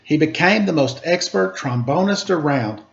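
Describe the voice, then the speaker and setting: A man reading a rhyming children's picture book aloud in an expressive, playful, sing-song storytelling style. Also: a little high, normal speed, vocal fry. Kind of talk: animated read-aloud storytelling with rhyming verse. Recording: slightly dull, lo-fi home recording.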